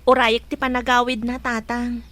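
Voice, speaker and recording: A woman plays a young expectant daughter in an Ilocano radio drama. Her voice sits very high, with an Ilocano accent, and she speaks respectfully, with a soft-hearted, worried air. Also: flat delivery, moderate pace, natural voice.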